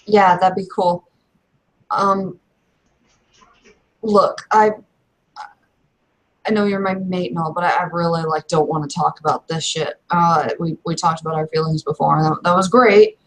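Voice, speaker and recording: A woman's voice: on the low side, fairly even intonation, low-quality recording. Woman playing a flustered, stammering young woman. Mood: awkward, evasive. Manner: halting, stammering, slangy. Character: awkward, evasive young woman, flustered werewolf student.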